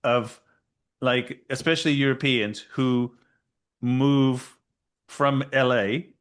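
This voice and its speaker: A man hosting an interview podcast, talking in a halting, conversational way. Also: medium pitch, fairly even intonation, clear, resonant tone. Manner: halting, with fillers and restarts. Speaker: podcast host. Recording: faint guitar music behind.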